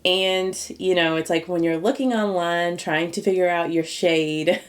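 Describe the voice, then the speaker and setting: A woman's voice: fairly low pitch, steady tone, measured pace. A woman chatting casually through a makeup review for her viewers, sounding a little nasal and congested from a cold, with a slightly scratchy throat. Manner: chatty, rambling, talks directly to viewers. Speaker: beauty YouTuber.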